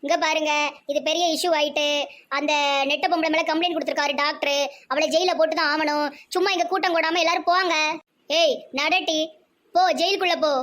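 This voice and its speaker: A woman's voice: sits high, fairly even intonation, brisk, fairly bright tone. A woman voicing a bossy, scolding female in a comic cartoon, with a Tamil Nadu accent. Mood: stern, scolding. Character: bossy, scolding women: policewoman and village neighbours.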